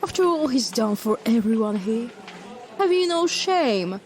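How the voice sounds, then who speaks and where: An actor plays a prim male hospital worker in an audio drama, quick to scold. He sounds reproachful and stiff, with a voice that moves up and down a lot. Faint hiss sits in the background. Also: very high-pitched, very animated, heavily acted. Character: prim, stern male coworker, moralizing.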